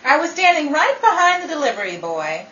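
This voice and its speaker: A high-pitched woman acting as a wisecracking, sardonic gal pal in an old-time radio comedy. She sounds distant in an echoing, noisy room. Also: sing-song, fairly quick, sharp tone, lo-fi sound, full character voice. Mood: wry, teasing. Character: brazen, wry girlfriend of the housewife.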